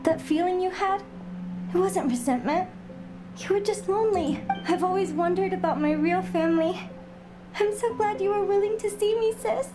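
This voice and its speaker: A plucky young girl adventurer from a video game, pitched very high. She is caring and earnest with a casual way of talking, over prominent background music. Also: normal speed. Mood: tender, teasing. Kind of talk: scripted video game voice acting.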